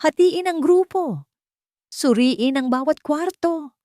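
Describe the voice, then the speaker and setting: A woman's voice: high voice, animated, slow, mellow, no room sound, clean studio sound, pitched above the performer's own voice. A woman voicing an urgent, commanding police captain in an audio storybook. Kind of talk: audio storybook character acting.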